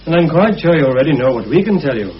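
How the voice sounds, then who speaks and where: An actor playing a cool, bitterly sardonic former prisoner of war in a British radio drama, blunt and dry. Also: male voice, fairly high pitch, very animated, fast. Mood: matter-of-fact. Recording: muffled, hissy old radio drama recording.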